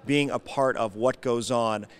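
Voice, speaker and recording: A man's voice: mid-range voice, fairly even intonation, unhurried, smooth. A male TV host leading an on-location walking tour of a glass-walled opera house lobby, speaking into a handheld mic and prompting his guests with questions.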